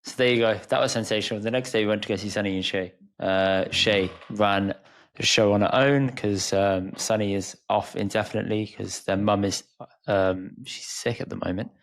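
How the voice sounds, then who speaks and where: Relaxed man, low-pitched podcast host. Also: normal speed. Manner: relaxed, laughs easily. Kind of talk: casual podcast chat.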